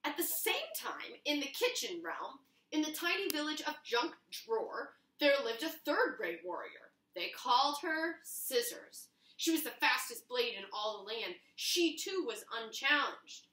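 A young woman reading a picture book aloud to children in an animated, expressive, dramatic storytelling manner. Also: high-pitched. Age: young adult. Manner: expressive, drawn-out dramatic narration. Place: small home kitchen, indoors. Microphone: camera a few feet away. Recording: roomy kitchen, camera a few feet away.

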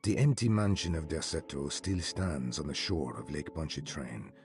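An actor voicing a solemn male narrator who reads an old archive document in a measured way. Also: a bass voice, gravelly, darkish tone. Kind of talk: scripted game narration.

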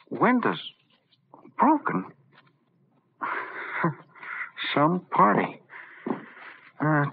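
An actor playing an irritable, hungover, reckless man with a halting, blustery manner in an old-time radio drama. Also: mid-range voice, breathy edge, full character voice. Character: irritable, hungover, reckless male office worker. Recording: tinny, hissy old radio recording.

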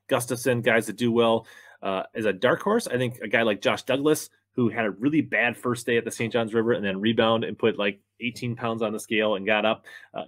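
American guy in his 30s doing fishing pundit commentary, lowish voice, pretty dry sound. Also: a bit bright, no room sound. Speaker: fantasy fishing pundit and host. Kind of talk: sports pick commentary to camera. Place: small indoor room, seated at a desk.

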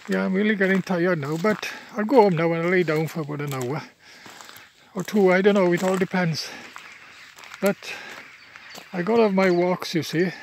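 Tired older Welsh man, gravelly voice. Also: sits high, slight background noise. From Wales. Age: 70s. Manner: chatty, plain-spoken, many fillers and restarts. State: tired from walking. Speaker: local walker filming his path. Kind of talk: rambling walking vlog. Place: outdoors on a rural path by wetland. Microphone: handheld phone camera, speaker off-screen.

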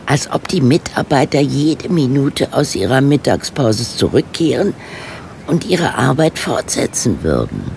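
A tart, stubborn amateur sleuth in a radio drama. The speech is formal and precise, animated in pitch and gravelly at the edges. Faint ambience sits behind it. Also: unhurried, slight background noise. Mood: determined, curious. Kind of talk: German radio play acting.

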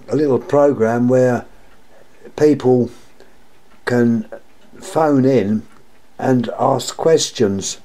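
An older man with a sore, croaky throat, talking casually and in a rambling, repetitive way while showing his lamp collection at home. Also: mid-range voice, warm tone. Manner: rambling, repeats himself, wry complaints. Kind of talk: casual show-and-tell monologue. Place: indoors at home, table of lamps.

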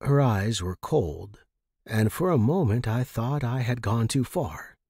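Husky man narrating fiction, close and dry. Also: medium pitch, lively intonation, measured pace, dark tone, dry recording. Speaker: story narrator. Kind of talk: scripted first-person fiction narration.

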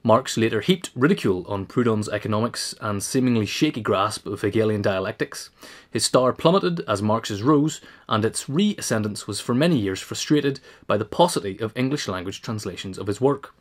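Guy with a lowish voice reading out a written essay. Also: expressive, moderate pace. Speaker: reader. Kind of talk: scripted narration, reading a biographical essay.

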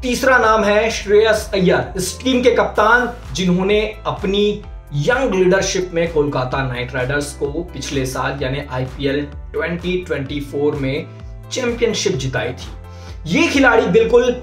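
Energetic male sports presenter, echoey room. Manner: energetic, emphatic delivery with rising and falling pitch. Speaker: sports reporter. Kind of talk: presenting a cricket news video. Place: small indoor room with curtains.

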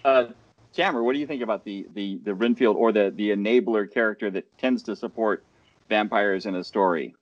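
A man's voice: fairly low pitch, a little fast, no echo, muffled recording. Man moderating a panel over a video call. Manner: rambling, with many restarts. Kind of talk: casual panel discussion. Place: home room. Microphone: headset.

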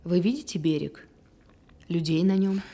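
An actress playing a calm hypnotherapist, giving measured instructions and probing questions in an audio drama. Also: female voice, lowish voice.

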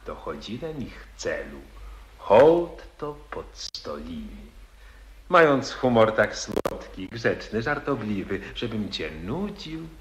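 Guy acting as a flowery young noble charmer, lowish voice swinging all over, slow and echoey old radio sound. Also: sing-song, a little hiss or hum, exaggerated voice. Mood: wooing. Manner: theatrical, wheedling, declamatory. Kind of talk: 1940s radio acting in verse.

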